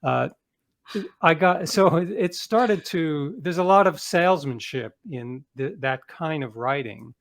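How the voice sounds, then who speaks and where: A man's voice: fairly high pitch, measured pace, creaky. Middle-aged man telling casual career anecdotes. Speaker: author, interview guest.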